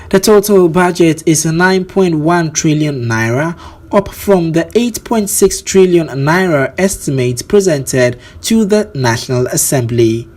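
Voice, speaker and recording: A man with a Nigerian accent reads scripted news as a presenter, in a formal, measured delivery. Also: a little high, darkish tone.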